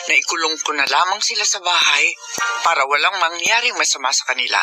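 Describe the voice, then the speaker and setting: A woman's voice: low-pitched, animated, fairly quick, loud music behind the voice. A Filipino woman playing an overprotective, strict and watchful mother in a radio drama. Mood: anxious, grave.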